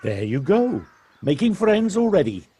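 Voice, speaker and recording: A man playing a kindly, teasing village local in an audio drama, with a husky voice and an encouraging manner. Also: high voice, big pitch swings, measured pace, mellow, exaggerated voice. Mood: friendly, encouraging. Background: birdsong.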